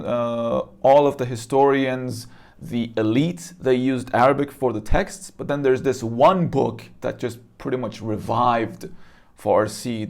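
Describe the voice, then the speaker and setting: Casual man explaining things. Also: mid-range voice. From Iran. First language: Persian. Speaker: presenter. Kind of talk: casual explanatory talk to viewers.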